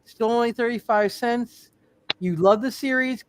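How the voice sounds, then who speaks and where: A man's voice: extremely high pitch, unhurried, noisy background. A man co-hosting a live tabletop game stream, chatting casually. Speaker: show co-host and player.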